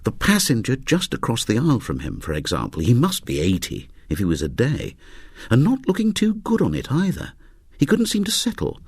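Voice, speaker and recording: A man reading fiction aloud as a narrator, with wry, expressive delivery. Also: mid-range voice, a little fast, husky, no room sound, studio quality. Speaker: audiobook narrator.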